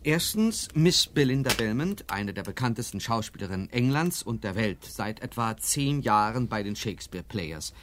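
Wry reporter sidekick, lowish voice. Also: male voice, sing-song, normal speed, hardly any pauses, a bit bright, a little hiss or hum. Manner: wry, mildly ironic, chatty. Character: wry, obliging male newspaper reporter, the detective's sidekick. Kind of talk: German radio crime drama acting.

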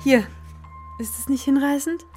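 A woman playing a romantic, nostalgic mother in a children's radio play, with a husky voice and a colloquial, exclaiming delivery. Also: sits high, a little slow, a little mellow, faint music behind. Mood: excited, gushing.

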